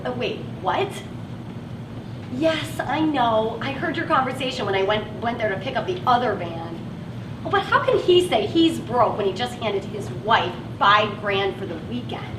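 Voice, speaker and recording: A woman's voice: a little high, animated, normal speed, lightly acted. An actress on stage playing a frustrated working woman who drives a van and feels stuck in her job. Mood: worried, exasperated. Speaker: actress in a one-act play. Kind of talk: live stage play acting. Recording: echoey, distant, noisy live stage recording.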